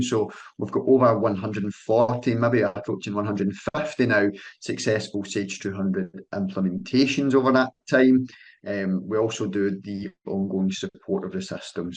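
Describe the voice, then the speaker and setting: Scottish guy running a webinar, lowish voice, lots of ums and restarts, chatty. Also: rough recording. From Scotland. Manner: friendly, loose delivery with frequent ums and restarts. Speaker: account manager presenting a webinar. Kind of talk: software sales webinar presentation.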